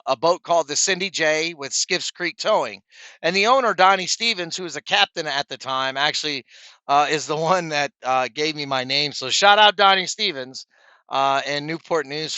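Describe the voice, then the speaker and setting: A man's voice: on the high side, bright, forceful tone, dry recording. A middle-aged man telling stories and giving advice to viewers in a casual, chummy vlog style, as a trucker presenting to his peers. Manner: chummy, addresses viewers as guys.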